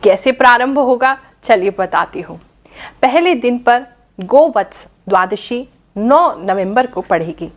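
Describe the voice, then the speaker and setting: Slightly hoarse woman giving horoscope advice. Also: on the high side, animated, unhurried. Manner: instructive, addresses viewers directly. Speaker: astrologer and spiritual guide. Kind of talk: festival horoscope and ritual advice to viewers.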